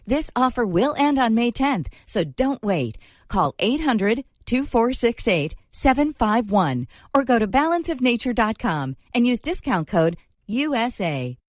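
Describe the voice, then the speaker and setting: A woman's voice: on the high side, big pitch swings, a little slow, dry recording, clean studio sound. A woman reading a scripted promotional advertisement as an announcer.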